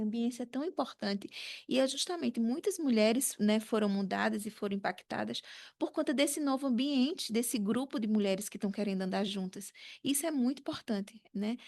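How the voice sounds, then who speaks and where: A woman's voice: a little high, a little mellow. A woman with a Brazilian accent hosting a podcast in chatty, conversational talk, often checking in with short tag questions. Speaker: podcast host.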